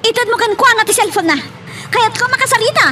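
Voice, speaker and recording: An actress playing an anxious young office secretary in love trouble in a radio drama. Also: female voice, very high voice, animated, fast talker, far from the mic, heavy background noise. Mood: upset, demanding. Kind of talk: Ilocano radio drama acting.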